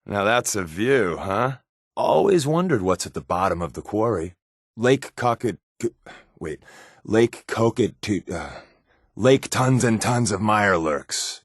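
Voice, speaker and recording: Laid-back wasteland spy, low voice, animated swings in pitch, sardonic, video game companion, dry close recording. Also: male voice, slow pace, breathy edge, dry recording, heavily acted. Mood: wry, casual. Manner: wry, sardonic, joking asides.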